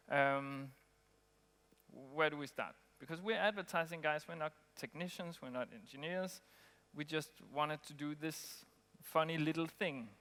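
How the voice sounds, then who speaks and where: A man from Denmark, giving a relaxed conference talk with frequent ums and pauses. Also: on the high side, clear, resonant tone. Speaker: conference speaker. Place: conference hall. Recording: long hall reverb.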